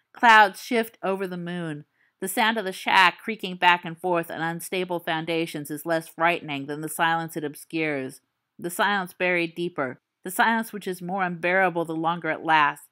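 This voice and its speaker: Woman reader, lowish voice, expressive suspenseful narration for a fan audiobook.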